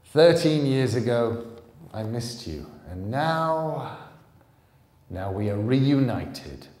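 A man's voice: medium pitch, expressive, slow pace, a little mellow. A man voicing a menacing, arrogant killer in a storybook reading, theatrical and commanding. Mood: gloating. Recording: roomy, echoing live hall sound.

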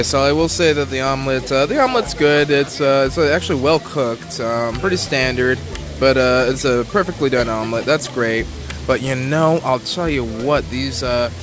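A young man hosting a casual food vlog, chatting loosely with lots of fillers as he films himself on a phone at an outdoor poolside cafe. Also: medium pitch. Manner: relaxed, chatty, frequent fillers. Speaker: vlog host. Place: outdoor cafe patio by a pool. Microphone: phone camera held at arm's length. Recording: noisy outdoor phone recording.

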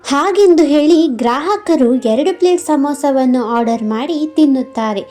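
A woman's voice: high voice, measured pace, mellow. A woman narrating a scripted moral story.

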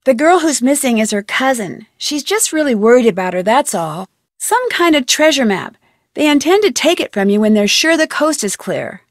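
A woman's voice: high voice, very animated, normal speed, no room sound, clean studio sound. A woman playing an inquisitive, persistent young amateur detective in a video game, speaking in a polite, earnest way. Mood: pressing, suspicious. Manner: polite, earnest, crisply questioning. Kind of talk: video game dialogue voice acting.

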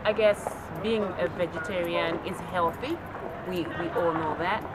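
Hesitant woman, loud outdoor noise. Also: fairly low pitch, measured pace. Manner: hesitant, searching for words. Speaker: passerby in street interview. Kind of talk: casual street interview answer. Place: outdoors on a university campus.

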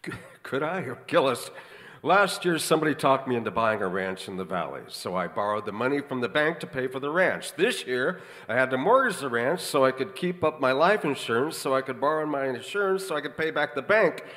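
A man's voice: medium pitch, animated, brisk, fairly bright tone, lightly acted. A man playing an evasive, complaining Hollywood producer in an old-time radio drama. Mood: evasive, griping. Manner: colloquial, complaining. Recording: reverberant theater stage recording.

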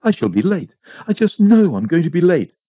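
A man voicing an anxious, fretful older wealthy woman who talks in a high, breathless, fussing rush. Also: sing-song, brisk, dry recording, lo-fi sound.

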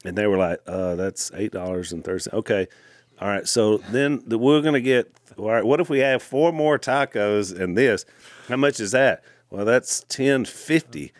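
A middle-aged man tells stories with quick asides and lots of energy. His voice sits a bit low and sounds close and dry on a studio mic, with no room sound. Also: no room sound. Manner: animated storyteller, quick asides. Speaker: podcast co-host. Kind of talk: casual storytelling chat. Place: wood-paneled studio room. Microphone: studio mic on boom arm, headphones.

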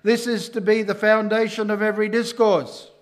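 An older man preaching a sermon at a church lectern microphone, emphatic and rising loud on key lines. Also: sits high, little pitch movement, normal speed.

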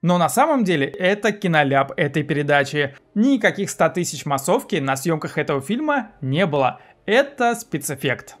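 A man in his thirties narrates a scripted film review in a fairly high voice. He sounds like a video host at his desk, with music playing behind him. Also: sing-song, moderate pace, hardly any pauses. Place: small indoor room, seated at desk.

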